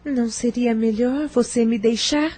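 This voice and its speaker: Actress as meek, self-sacrificing wife, high and mellow voice, audio-drama delivery. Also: female voice, fairly even intonation, unhurried. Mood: anguished. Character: humble, self-sacrificing young wife shamed as dishonored. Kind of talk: audio novel dramatic acting.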